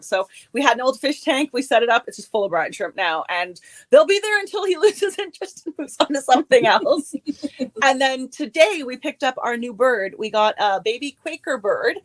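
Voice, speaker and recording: Chatty middle-aged woman, german-born, highish voice, giggles a lot. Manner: chatty, laughs often. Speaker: guest author. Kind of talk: casual chat.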